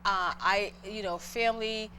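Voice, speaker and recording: A woman's voice: medium pitch, fairly even intonation, unhurried, bright, forceful tone, slight background noise, poor audio. Earnest middle-aged woman from Boston. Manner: earnest, heartfelt storytelling. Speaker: guest entrepreneur. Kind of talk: interview, personal story.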